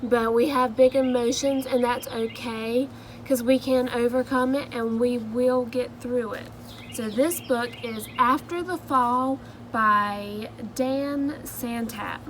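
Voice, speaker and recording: A woman reading a children's picture book aloud in a slow, gentle storytelling manner, pausing to ask her listeners questions. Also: a little high, fairly even intonation, a little hiss or hum.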